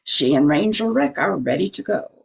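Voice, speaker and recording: A narrator with a gravelly, husky voice reading a scripted radio drama. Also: medium pitch, animated, moderate pace.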